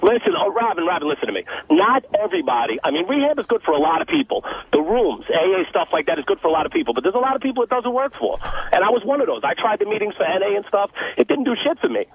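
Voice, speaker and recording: An American man calling in to a radio show, speaking earnestly and insistently in a husky voice over the phone. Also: sits high, sing-song, racing. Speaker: phone-in caller. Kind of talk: unscripted earnest phone conversation. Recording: narrow, dry phone line.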